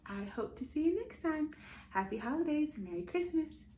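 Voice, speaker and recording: Animated, high-pitched American woman reading to kids. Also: normal speed. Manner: playful, expressive, talking directly to young listeners; exaggerated, theatrical delivery of silly sound words. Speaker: children's read-aloud host. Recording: roomy echo, light background noise.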